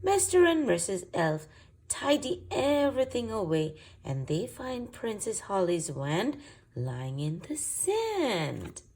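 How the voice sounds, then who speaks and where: A woman hosting and narrating a children's storybook read-aloud in an expressive, deliberate way. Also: medium pitch. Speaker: children's book reader and channel host.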